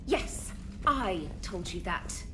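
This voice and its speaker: A woman's voice: medium pitch, very animated, slow, music in the background. A woman playing a flustered, articulate starship technician in a video game, fussy and precise in manner. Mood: indignant, bewildered. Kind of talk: cinematic video game acting.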